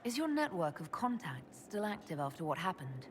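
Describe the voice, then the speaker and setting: An actress playing a composed, inquisitive heroine and leader in a video game, measured and direct. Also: female voice, fairly low pitch, sing-song. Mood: skeptical. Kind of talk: video game dialogue acting. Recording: faint background noise.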